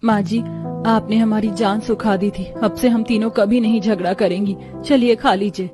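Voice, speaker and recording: A woman's voice: mid-range voice, fairly even intonation, moderate pace, music in the background, lightly acted. A woman voicing a dutiful, respectful young daughter-in-law in a family story. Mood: caring, earnest.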